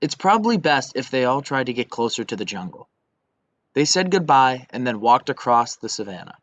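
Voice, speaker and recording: A young man reading a children's story aloud in an expressive, scripted way. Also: medium pitch, dry recording. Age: young adult. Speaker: volunteer storybook reader. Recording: dull lo-fi recording.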